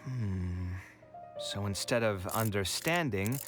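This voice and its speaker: A man's voice: on the low side, takes it slowly, over music. A man voicing an earnest, thoughtful young man in a video game, pensive and hesitant. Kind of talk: scripted game dialogue.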